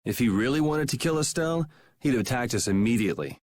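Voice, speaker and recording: A man's voice: medium pitch, lively intonation, fast talker, darkish tone, a little hiss or hum, close to an everyday voice. An actor playing a self-assured young male adventurer in a video game, talking in a casual, wry, plain-spoken way. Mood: wry, resolute. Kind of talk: video game cutscene acting.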